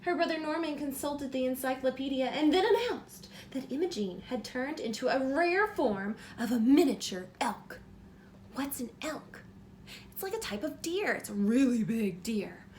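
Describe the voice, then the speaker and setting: High-pitched woman reading to children. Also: expressive, normal speed, darkish tone, a little hiss or hum. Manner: encouraging, explaining simply with prompting questions. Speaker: teacher reading a picture book aloud to children. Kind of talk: children's read-aloud with questions to listeners.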